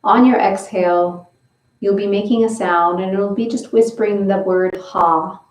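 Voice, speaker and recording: A woman leading a guided breathing and stretching session, giving slow, gentle step-by-step instructions. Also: mid-range voice, steady tone, distant mic. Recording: roomy, from away from the mic.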